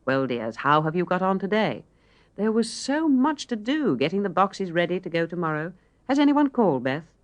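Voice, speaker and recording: A woman's voice: on the low side, big pitch swings, normal speed, pitched above the performer's own voice. A woman voicing a cheery, affectionate middle-aged mother in an audiobook.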